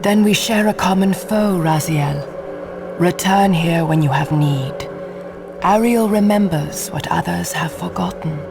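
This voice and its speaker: Over clear music, a bound female ghost in a video game. She speaks slowly and formally, mournful and bitter, in a haunting theatrical style. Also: on the low side. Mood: bitter, resolute.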